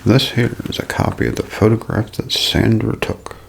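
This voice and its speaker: A man narrating a scripted mystery as ASMR in a breathy, hushed half-whisper, phrase by deliberate phrase. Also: on the low side, steady tone, a little hiss or hum. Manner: slow, deliberate, broken into short phrases.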